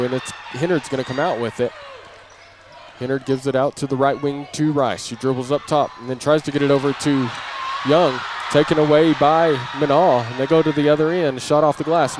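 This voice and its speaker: Man calling basketball play-by-play over gym noise. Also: medium pitch. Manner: clipped running phrases, lifting on big plays. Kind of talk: live radio-style sports commentary. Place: indoor basketball gym during a game. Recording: clearly noisy live gym audio. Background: gym ambience, bouncing basketball.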